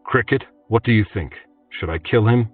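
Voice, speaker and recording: A man voicing a cool-headed male swordsman in a storybook reading, speaking calmly and with quiet menace. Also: lowish voice, moderate pace, far from the mic, pitched above the performer's own voice, lightly acted. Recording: tinny phone-like audio, faint music.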